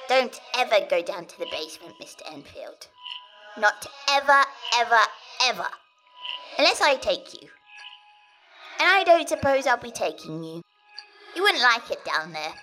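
A man's voice: extremely high pitch, very animated, moderate pace, long pauses, bright, forceful tone, faint music behind, pitched above the performer's own voice, exaggerated voice, low-quality recording. A man doing an eerie, solemn little girl. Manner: grave, precise, grown-up serious tone. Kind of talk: audiobook character voice.

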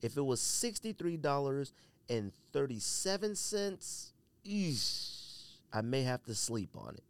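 A man's voice: mid-range voice, big pitch swings, takes it slowly, clean tone. A man hosting a casual podcast with blunt, emphatic, opinionated commentary, repeating himself for emphasis while a bit under the weather. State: under the weather, coughing and sneezing. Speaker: podcast host.

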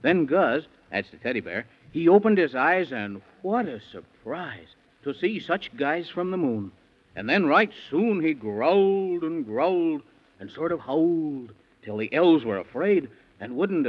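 A man's voice: fairly high pitch, sing-song, measured pace, husky. A man narrating a rhyming 1930s radio bedtime story in a playful, theatrical, rhythmic way. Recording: dull, muffled 1930s radio recording.

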